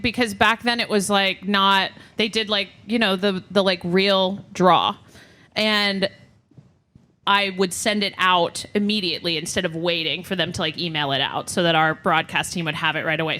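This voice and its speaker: A woman's voice: medium pitch, pushed and bright. A woman co-hosting a live podcast, chatting casually and telling anecdotes. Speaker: podcast co-host. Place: live show before an audience.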